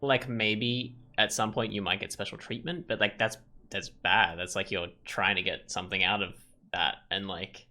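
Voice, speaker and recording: A man with a slightly low but bright, forward voice commentates casually over a game. He thinks out loud, often breaking off and starting again as he works through his thoughts. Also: steady tone. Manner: thinking aloud, halting. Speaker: gaming video creator. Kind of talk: casual let's play commentary.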